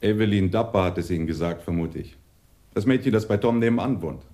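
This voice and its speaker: Actor doing glib easygoing wheeler-dealer in a radio play, low mellow voice. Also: male voice, fast, lightly acted. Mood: breezy, glib. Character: smooth, obliging male adventurer and junk dealer nicknamed Cat. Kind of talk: radio drama acting.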